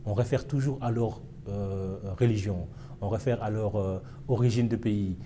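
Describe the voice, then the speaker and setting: A man with a low, mellow voice, giving an interview in a thoughtful, hesitant way, his sentences full of small fillers. A faint hiss sits under the recording. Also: fairly even intonation, normal speed. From Senegal. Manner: reflective, with many fillers and hesitations. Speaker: interviewee, historian. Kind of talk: casual interview.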